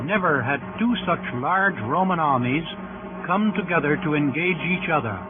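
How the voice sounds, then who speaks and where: A man narrating an old radio drama in a formal, deliberate, scripted manner, phrase by phrase. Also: fairly high pitch, lively intonation. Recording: muffled old radio recording, music behind.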